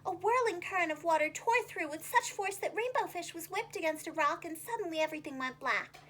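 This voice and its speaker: High, animated woman reading children's stories. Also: fairly quick. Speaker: preschool teacher, storyteller.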